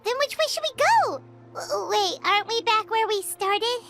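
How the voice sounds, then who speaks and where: A tiny fairy sidekick from an anime game, squeaky and extremely high. The delivery is bright, cutesy and excitable, bouncing up and down in pitch over faint music. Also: female voice, moderate pace, exaggerated voice. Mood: excitable, curious. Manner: third-person self-reference, exclamatory, cutesy. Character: tiny chirpy childlike fairy companion, excitable, speaks of herself by name. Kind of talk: anime-style video game voice acting.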